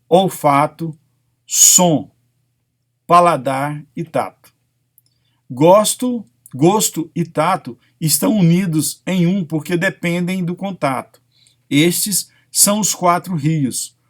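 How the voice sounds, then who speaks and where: Man, Brazilian accent, high-pitched, narrator, read-aloud spiritual lecture. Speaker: narrator reading self-help spiritual texts.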